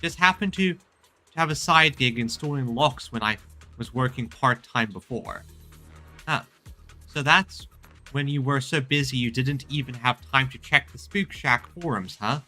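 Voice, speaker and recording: Bright, forceful male game streamer. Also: mid-range voice, moderate pace. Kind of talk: casual let's-play commentary, reading game text aloud. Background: game music.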